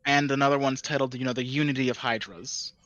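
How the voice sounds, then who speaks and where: A man narrating as dungeon master in a tabletop game, talking haltingly with many fillers and restarts. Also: medium pitch, fairly even intonation, a bit bright, highs cut off.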